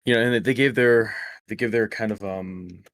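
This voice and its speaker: A man with a slightly raspy voice, rambling through a story in casual chat over a voice call. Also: fairly low pitch, fairly even intonation, moderate pace, a bit bright. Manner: rambling, self-correcting storyteller. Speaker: stream guest. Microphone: close microphone.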